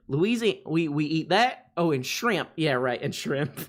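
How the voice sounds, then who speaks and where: A man's voice: fairly high pitch, expressive, takes it slowly, fairly bright tone. A man voicing an over-the-top Southern man who boasts about eating fried food, in a drawling, hammy comic impression on a livestream.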